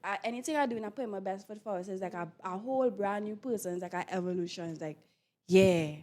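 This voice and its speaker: A woman's voice: mid-range voice, quick pace, dark tone. A woman with a Trinidadian accent talking casually and candidly as an actress being interviewed.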